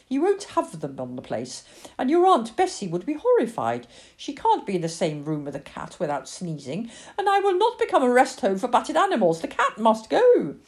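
A woman's voice: fairly low pitch, huge pitch swings, moderate pace, darkish tone. A woman voicing a firm, exasperated farmhouse mother in a story, scolding sharply.

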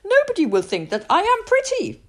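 A woman voicing an excitable, vain young girl in a children's storybook reading. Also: fairly quick, full character voice. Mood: excited. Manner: high, excited, exclamatory.

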